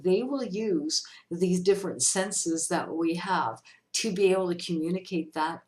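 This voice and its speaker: A woman hosting a casual question-and-answer vlog as a medium, speaking directly to her viewers in a chatty, expressive way. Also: fairly low pitch. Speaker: vlog host, psychic medium.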